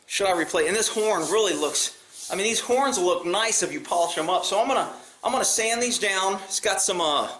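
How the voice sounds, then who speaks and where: American guy rambling on his vlog, pretty high voice, roomy echo, blunt and folksy. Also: bright, forceful tone. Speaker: video channel host. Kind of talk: casual vlog chat. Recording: roomy, echoey sound.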